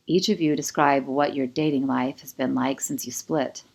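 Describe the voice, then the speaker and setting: A woman's voice: rumbling low, steady tone, moderate pace, vocal fry, darkish tone, heavily acted. Audiobook romance role: jealous, intense young male ex, emotionally bruised; female reader. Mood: matter-of-fact. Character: Kai, intense jealous young man, wounded ex-boyfriend.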